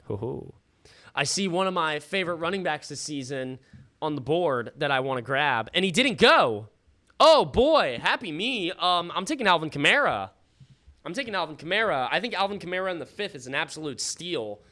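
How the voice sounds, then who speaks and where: A man hosting a live fantasy football stream in a casual, slangy, sweary way, thinking out loud, his voice starting to give out. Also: on the high side, big pitch swings, normal speed. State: losing his voice. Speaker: livestream host. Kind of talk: casual live fantasy football draft commentary with chat shout-outs.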